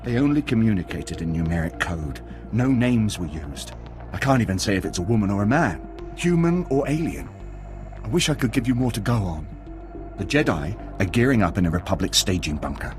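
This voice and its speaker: Earnest, animated military spy. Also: male voice, on the low side, fairly quick, music in the background. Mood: earnest, deferential. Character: rattled, earnest male military spy for the Sith. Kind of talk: video game cutscene acting.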